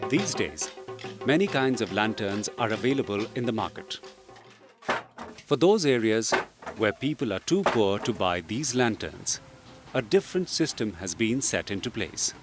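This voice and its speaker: A man reading scripted instructional narration in a measured, explanatory way. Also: fairly low pitch, big pitch swings. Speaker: training film narrator. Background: background music.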